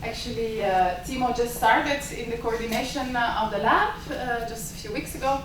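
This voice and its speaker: A woman's voice: a little high, moderate pace, background noise. A woman whose first language is Italian, giving hesitant opening remarks at an academic symposium, with many filler sounds. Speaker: symposium host and lab director. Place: university seminar room. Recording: echoey lecture room, mic far off.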